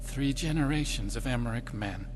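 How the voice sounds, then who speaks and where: A man's voice: medium pitch, moderate pace, a little hiss or hum, lightly acted. A man playing an earnest, anxious, naive weapons engineer in a video game, with a hesitant, emotive delivery. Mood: anguished, guilty. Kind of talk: dramatic video game voice acting. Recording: music behind the voice.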